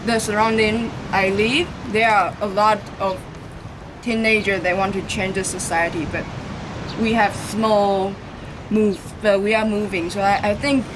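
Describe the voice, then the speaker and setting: Halting mid-pitched woman, noisy outdoor recording. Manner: halting, searching for words. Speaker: interviewee. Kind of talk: casual interview answers.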